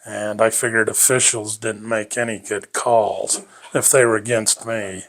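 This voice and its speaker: A man's voice: on the low side, little pitch movement, a little slow, vocal fry, fairly bright tone. Rambling, folksy older man. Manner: rambling and folksy, chuckles often. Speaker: interviewee. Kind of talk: reminiscing life story.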